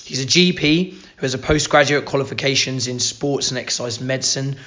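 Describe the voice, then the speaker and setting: A male podcast host with a hoarse, croaky voice, talking in an upbeat, informal way. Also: mid-range voice, a little fast, pushed and bright, dull audio. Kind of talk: casual podcast chat.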